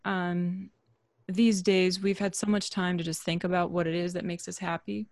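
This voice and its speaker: A woman musician being interviewed over a video call, talking reflectively with frequent fillers and soft laughs. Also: on the low side, little pitch movement, normal speed, no echo, studio quality. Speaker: musician guest. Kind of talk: relaxed interview chat.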